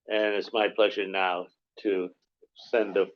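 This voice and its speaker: A man hosting a university seminar, formally introducing the guest speaker in a measured way. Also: low-pitched, flat delivery, a little hiss or hum. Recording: dull lo-fi lecture recording.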